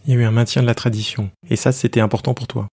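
A man's voice: medium pitch, flat delivery, racing, a little mellow, no room sound, studio quality. A man hosting a coaching podcast, reading a scripted intro, then putting questions to his guest. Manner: clear, polished presenter delivery.

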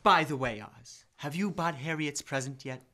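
A man's voice: a little high, normal speed, sharp tone. A young man playing a jovial, teasing next-door neighbor in an old-time radio comedy, with a playful, folksy manner. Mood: chatty. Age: teens to young adult. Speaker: student actor. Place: school library, indoors, live stage reading.

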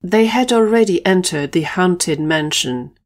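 A woman's voice: lowish voice, expressive, unhurried. A woman narrating a scripted audio drama story.

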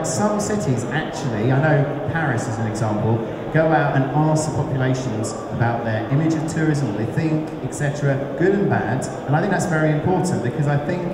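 Man on a panel, mid-pitched, emphatic, echoey hall, loud background noise. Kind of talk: panel discussion. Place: large conference hall stage.

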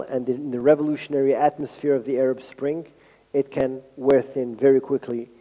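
Measured man lecturing in a big hall. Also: mid-range voice, mellow. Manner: measured, pausing for interpretation. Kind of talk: formal university lecture. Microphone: podium mic. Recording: lo-fi hall recording with long reverb.

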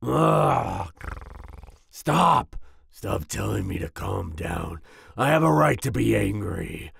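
A man playing a volatile but soft-hearted werewolf boyfriend in an ASMR roleplay, growling and raspy, with sighs and groans. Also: on the low side, huge pitch swings, dry recording, clean studio sound, exaggerated voice. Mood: raging, self-loathing. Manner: snarling outbursts, sighs and groans, slow brooding delivery. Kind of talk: ASMR roleplay audio drama.

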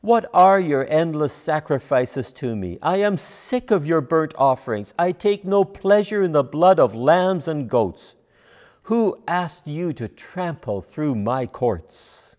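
An older man delivering a measured, scripted sermon as a preacher, deliberate in his phrasing. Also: fairly high pitch, expressive, a little mellow. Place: large church sanctuary, at a lectern. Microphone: lapel mic. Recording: long church reverb.

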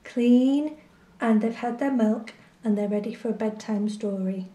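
Higher-voiced woman in an echoey room. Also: a little slow, dark tone. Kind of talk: playful introductory chat for a bedtime story.

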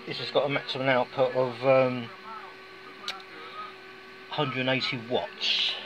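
A rambling, folksy British man talks through his DIY project at an easy, conversational pace. The sound is dull and lo-fi, like a phone mic, with clear noise in the background. His voice sits at an ordinary middle pitch. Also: little pitch movement, a little slow. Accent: British English. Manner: chatty and rambling, with folksy asides. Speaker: hobbyist vlogger. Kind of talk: casual DIY demonstration. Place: indoors, garage or workshop floor. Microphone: handheld phone filming.

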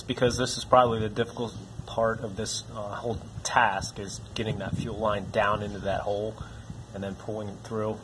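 A man's voice: lowish voice, a little hiss or hum, low-quality recording. A man hosting a do-it-yourself channel, walking through a repair step by step in a plainspoken, practical way. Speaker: DIY repair channel host.

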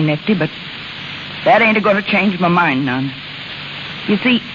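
Worn-out broke carnival gal, folksy halting talk, muffled old radio play sound. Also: female voice, fairly low pitch. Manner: folksy, ungrammatical, halting speech. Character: weary, broke, remorseful carnival woman, plain-spoken. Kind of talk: 1930s radio drama acting. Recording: muffled, crackly 1930s radio broadcast.

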